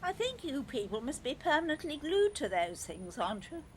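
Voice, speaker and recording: A woman's voice: sits high, big pitch swings, normal speed, a little hiss or hum. An actress playing a haughty, disapproving grandmother in an audio play, with imperious, complaining, formal speech. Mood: indignant scolding. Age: older.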